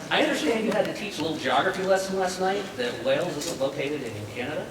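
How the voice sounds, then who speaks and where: A man's voice: a little high, expressive, fast. A man moderating a convention panel, interviewing a guest casually in front of an audience. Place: large indoor convention hall. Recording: echoey, noisy hall with crowd, distant pickup.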